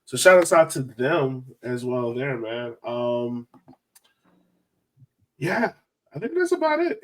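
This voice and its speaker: A man's voice: fairly high pitch, low-quality recording. A man hosting a sports podcast in a casual, chatty style. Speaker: podcast host.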